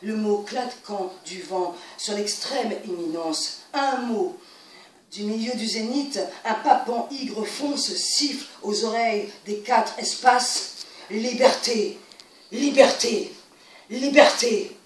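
A woman with a high voice declaims lyric poetry, solemn and incantatory. She is some way from the microphone in a reverberant room. Her delivery is emphatic and theatrical, as in a staged recitation. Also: slow pace. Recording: roomy, distant mic, faint noise.

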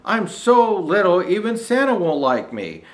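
A man's voice: high-pitched, sing-song, a little slow. An older man voicing a tiny, timid, worried male elf in a storybook, earnest and anxious. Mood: dejected.

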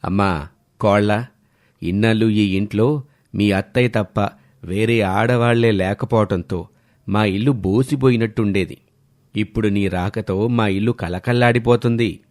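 A man voicing an older, gentle family patriarch in a cartoon drama, speaking in a measured, reassuring way. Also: lowish voice, pitched below the performer's own voice, lightly acted. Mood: warm welcome.

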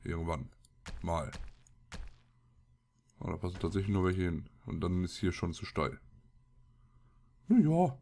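A man's voice: low-pitched, very animated, a little slow, creaky, long pauses, mellow. A man with a cold and a scratchy throat, giving casual, colloquial gameplay commentary as he mutters to himself. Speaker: let's play host.

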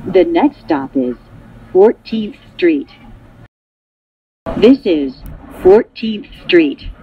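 A woman making recorded subway announcements in formal, clearly segmented phrases, over train ambience. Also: fairly low pitch, expressive, drawn-out words. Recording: dull lo-fi audio with vehicle noise.

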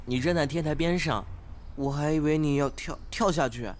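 A young man acting an earnest, polite, slightly naive studious teenage boy in an audio drama, gentle and encouraging. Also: on the high side, normal speed, natural voice. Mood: worried. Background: background music.

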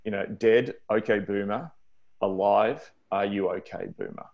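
A man's voice: lowish voice, fairly even intonation, unhurried, a little mellow, lo-fi sound. A man who is a pastor, joining by call and talking loosely with lots of "you know". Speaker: pastor guest. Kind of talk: casual conversation.

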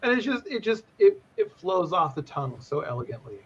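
A man's voice: on the high side, sing-song, brisk. A man, chatting casually as co-host on a live stream. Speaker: co-host, developer advocate. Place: indoor room, on a video call. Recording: dull, noisy webcam audio.

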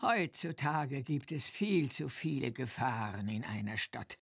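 A woman playing a dignified, cautious old head witch with a low, husky voice and formal manner in a children's game. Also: lively intonation, no room sound, studio quality, heavily acted. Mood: disapproving. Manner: formal, measured. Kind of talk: German children's audio-play acting in a game.

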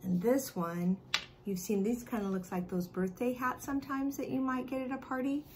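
Expressive American kindergarten teacher, middle-aged woman. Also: on the high side, normal speed, slight background noise. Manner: expressive, simple explaining with questions to children. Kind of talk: read-aloud and chat to young children. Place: living room at home.

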